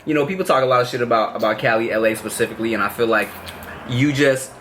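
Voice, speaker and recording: Laid-back fast-talking LA guy. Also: mid-range voice, a bit bright, a little hiss or hum. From Los Angeles, raised there. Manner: laid-back slangy talk, many asides. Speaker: podcast host. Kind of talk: casual chat.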